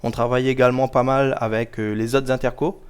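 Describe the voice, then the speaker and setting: A man giving explanatory answers as an interview guest, measured and formal, pausing often to choose his words carefully. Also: mid-range voice, flat delivery, clean tone. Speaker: guest, local government project officer.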